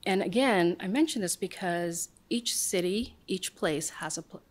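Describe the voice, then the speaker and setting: A woman's voice: fairly low pitch, measured pace. A woman in her forties, raised in Southern California, telling her story as a guest in an interview. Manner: animated storytelling, voices other people in quotes. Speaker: guest, city office director. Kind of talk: conversational interview.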